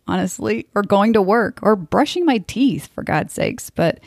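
A woman's voice: mid-range voice, animated, a little slow, creaky, a little mellow, no echo, clean studio sound. A woman in her forties hosting a podcast, talking in a frank, blunt and wry way. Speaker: podcast host. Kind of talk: scripted podcast monologue.

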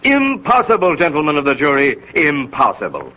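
A man's voice: fairly high pitch, wildly swooping pitch. An actor playing an impassioned male defense lawyer, oratorical and emphatic, in 1930s radio style. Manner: oratorical, deliberate emphasis and repetition. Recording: muffled 1930s radio recording.

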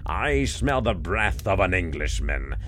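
A man voicing a huge, hungry, murderous giant with a booming, menacing delivery for a storybook. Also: big pitch swings, unhurried, fairly bright tone, a little hiss or hum, heavily acted. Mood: menacing roar.

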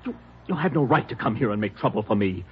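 A man's voice: medium pitch, lively intonation, quick pace, lightly acted. A man playing a nervous, defensive bank auditor in a 1950s radio melodrama, agitated and insistent. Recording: dull, noisy old radio recording.

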